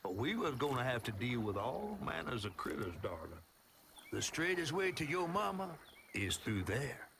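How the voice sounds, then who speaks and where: A man's voice: medium pitch, very animated, normal speed, gravelly, background noise. A man voicing a folksy Southern creature guide and storyteller in a video game, with a theatrical drawl and an American Southern accent. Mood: wry, cautioning.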